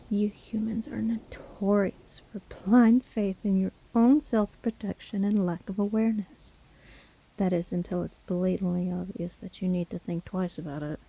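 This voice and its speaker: Sly witch storyteller, acted by a woman, very mellow and dark, husky breath, theatrical, teasing, fantasy audio roleplay. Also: medium pitch, full character voice, poor audio. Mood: gloating, mocking. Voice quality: soft, breathy. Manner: slow, theatrical, teasing, condescending. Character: sly, gloating witch storyteller toying with her trapped victim.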